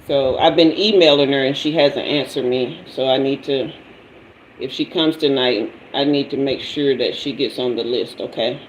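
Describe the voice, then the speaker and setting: A woman's voice: extremely low pitch, moderate pace, a little hiss or hum, rough recording. A woman in her fifties, a tarot reader hosting a casual livestream, chatting playfully with viewers and drifting away from and back to the mic. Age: 50s. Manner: chatty and playful, greeting viewers and asking for emojis.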